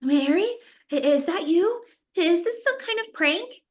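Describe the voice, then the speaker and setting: A woman acting a high-voiced young pageant girl. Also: animated, a little slow. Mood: terrified. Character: anxious young female pageant contestant, increasingly frightened. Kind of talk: screenplay table read acting.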